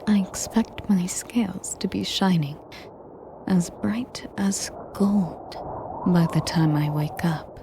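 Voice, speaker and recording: A woman playing a sadistic, possessive female dragon in an intimate audio roleplay, speaking in a measured, formal, breathy and commanding way. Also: fairly low pitch, noisy background. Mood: commanding, menacing. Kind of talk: slow, intimate audio roleplay addressed to the listener.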